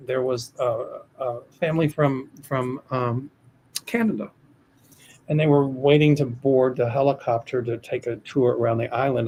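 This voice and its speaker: An older man hosts a casual psychic livestream, his voice gravelly and fry-laden at a middling pitch. He rambles and restarts often. A faint hiss sits behind him. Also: a little mellow, low-quality recording. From United States. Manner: rambling and hesitant, with frequent restarts. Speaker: psychic host of a livestream show. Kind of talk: casual livestream chat, reading viewer questions.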